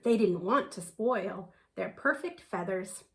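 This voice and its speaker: A woman reading a children's picture book aloud in an expressive way, with dramatic emphasis. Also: medium pitch. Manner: animated, unhurried, dramatic emphasis. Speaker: children's story reader.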